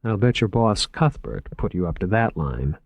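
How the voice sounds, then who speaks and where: A man voicing a sharp-witted young woman museum researcher who speaks in a cool, pointed, restrained way. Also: low-pitched, sing-song, normal speed, no echo, narrow, tinny audio, full character voice.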